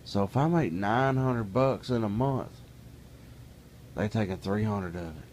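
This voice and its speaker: Low-voiced middle-aged man ranting plainly. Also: a little slow, a little mellow, slight background noise. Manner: plainspoken, folksy, grows heated and exasperated. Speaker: vlogger. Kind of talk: casual ranting monologue.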